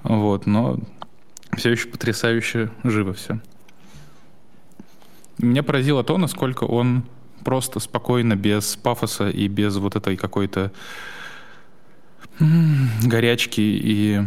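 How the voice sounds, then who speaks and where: A young man co-hosting a podcast in casual chat, talking haltingly with restarts and short laughs. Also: medium pitch, a little mellow. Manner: halting, full of restarts, laughs between thoughts. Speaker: podcast co-host.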